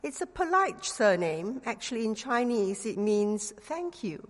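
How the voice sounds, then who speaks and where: A middle-aged woman from Singapore with a Singaporean English accent and a touch of London slang, giving an earnest sermon with gentle humor and leading prayer. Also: fairly high pitch, sing-song, unhurried, long reverb tail. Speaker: preacher.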